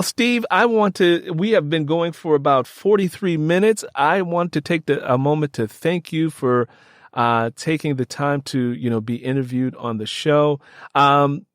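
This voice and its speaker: A man's voice: fairly high pitch, dry recording, studio quality. A man who works as a podcast host and storyteller, speaking to listeners. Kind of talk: conversational interview and scripted audio drama narration.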